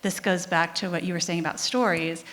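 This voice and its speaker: A woman's voice: on the low side, fairly even intonation, a little fast, a bit bright. A woman science author answering on a conference panel, chatty and loose, often saying "like". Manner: chatty, fillers like "like". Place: conference hall. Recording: reverberant conference hall.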